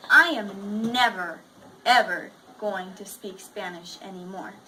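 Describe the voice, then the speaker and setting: A woman's voice: mid-range voice, takes it slowly, bright, forceful tone, a little hiss or hum, poor audio. A woman voicing an exasperated, grumbling little girl from a storybook, speaking emphatically.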